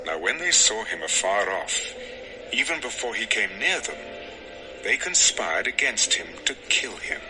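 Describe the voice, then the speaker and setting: Guy reading bible story narration, deepish n solemn, lots of inflection, music behind. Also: big pitch swings, unhurried, rough recording. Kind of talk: scripted dramatized Bible narration.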